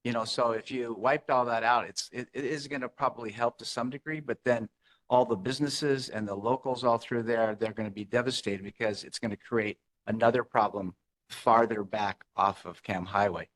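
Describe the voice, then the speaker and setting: A man commenting as a resident at a neighborhood meeting, rambling with halting restarts. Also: medium pitch, fairly even intonation, a bit bright, rough recording. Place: community meeting room.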